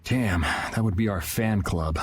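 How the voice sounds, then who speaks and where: An actor playing a dry, impatient young adventurer in a video game. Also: male voice, low-pitched, unhurried, faint music behind.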